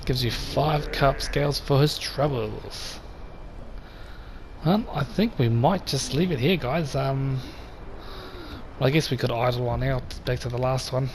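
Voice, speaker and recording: Croaky-voiced male let's play host. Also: mid-range voice, a little slow. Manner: relaxed and chatty, talks straight to viewers. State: slightly croaky voice. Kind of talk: casual gameplay commentary. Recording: voice over game sound noise.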